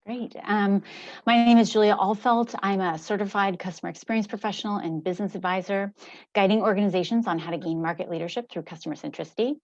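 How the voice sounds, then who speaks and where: A woman's voice: mid-range voice, fairly even intonation, quick pace, no echo. American woman, dull dry sound. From United States. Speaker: customer experience advisor and co-host. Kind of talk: self-introduction on a webinar.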